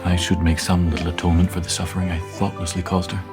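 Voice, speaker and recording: An actor playing a gentle, remorseful Victorian husband in a radio drama, speaking tenderly and solemnly. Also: male voice, low voice, little pitch movement, quick pace, music in the background, natural voice.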